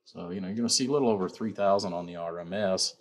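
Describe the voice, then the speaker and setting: A man's voice: low-pitched, fast, slight background noise. A man informally presenting a demonstration as a builder and seller, rambling along with frequent repeated filler phrases. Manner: rambling, repeats "you know" and "like I said". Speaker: amplifier builder and seller presenting his work.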